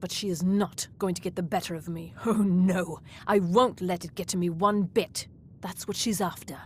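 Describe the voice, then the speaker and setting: A woman's voice: lowish voice, fairly even intonation, normal speed, slight background noise, natural voice. An actress playing a vain, defensive female wizard in a fantasy video game, haughty and insistent.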